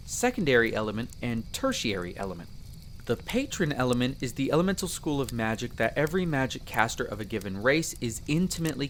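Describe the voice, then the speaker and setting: A man's voice: mid-range voice, fairly bright tone, slight background noise. A man playing a friendly, chatty elf explorer in a roleplay audio drama, explaining things in an easygoing, conversational way to the listener. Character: friendly chatty male elf explorer, eager magic mentor.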